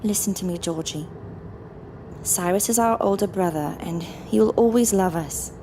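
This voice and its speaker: Woman acting mellow-voiced, proper, scolding sister. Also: fairly low pitch, moderate pace, slight background noise, close to an everyday voice, rough recording. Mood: firm, reassuring. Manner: polite, formal, admonishing. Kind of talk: audio drama acting.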